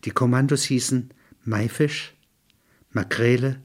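A man's voice: mid-range voice, steady tone, a little slow. A man narrating a scripted documentary radio piece in a sober, clipped, report-like way.

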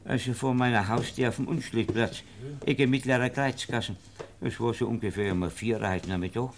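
An actor playing an eager, deferential master shoemaker in a period radio drama, stammering and butting in obsequiously. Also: male voice, mid-range voice, steady tone, fairly quick, slight background noise, close to an everyday voice. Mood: insistent.

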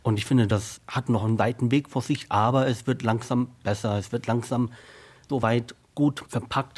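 A deaf actor in his thirties whose first language is sign language, answering a radio interview slowly and carefully. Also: on the low side, darkish tone, breathy edge. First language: German Sign Language.

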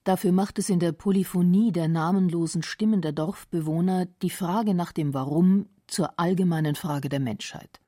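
Woman, radio documentary narrator, measured, lowish, mellow, faint music. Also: no echo, studio quality. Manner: measured and explanatory.

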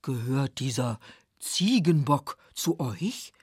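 A woman's voice: very deep voice, lively intonation, takes it slowly, a little mellow, breathy edge, dry recording, studio quality, pitched below the performer's own voice, full character voice. A woman voicing a flustered old Father Christmas who gets confused and bellows. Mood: puzzled.

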